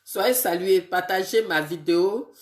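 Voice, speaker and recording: A woman who has a bad cold, greeting her viewers one by one on a casual live video and talking in a halting way with many fillers. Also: on the low side, echoey room. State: congested with a cold, sniffly and tired. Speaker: political live streamer.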